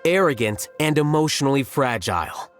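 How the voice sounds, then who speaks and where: An actor playing an aloof, rational young scholar in a video game, blunt and detached with dry condescension. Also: male voice, medium pitch, animated, normal speed, faint music behind, lightly acted. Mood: coolly critical.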